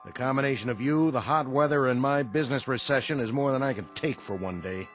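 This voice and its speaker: An actor in an old-time radio drama playing a weary, sardonic saloon owner given to dry wisecracks. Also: male voice, mid-range voice, fast, fairly bright tone, soft background music, lightly acted. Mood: weary, exasperated.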